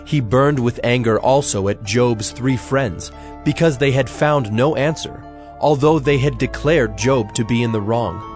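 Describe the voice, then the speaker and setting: Male narrator for dramatized scripture. Mid-pitched, measured, formal storytelling, light music bed. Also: darkish tone.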